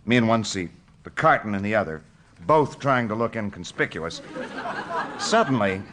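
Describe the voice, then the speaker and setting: A wry, determined newspaperman in an audio drama. The voice is on the low side, with lively swings in pitch. He speaks like a careful storyteller. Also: moderate pace, some chatter behind. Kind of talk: naturalistic audio drama dialogue.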